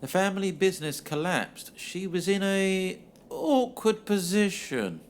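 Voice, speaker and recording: A man playing an old-fashioned gentleman ghost in an audio drama, dry and jovial. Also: sits high, sing-song, unhurried. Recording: faint noise behind.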